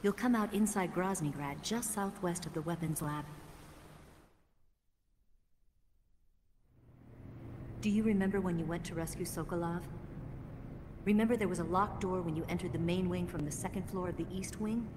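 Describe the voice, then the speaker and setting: Woman playing a low-voiced, seductive female spy. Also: fairly quick, background noise, close to an everyday voice. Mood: composed. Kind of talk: cinematic video game voice acting. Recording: reverberant hall, music underneath.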